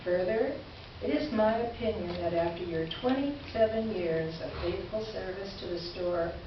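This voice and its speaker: A woman playing a wry, kindly letter writer in a staged reading, with measured, articulate, theatrical delivery. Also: mid-range voice, natural voice. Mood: earnest, reassuring. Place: live stage presentation in a roomy venue. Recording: distant, echoey, muffled live stage recording, noisy.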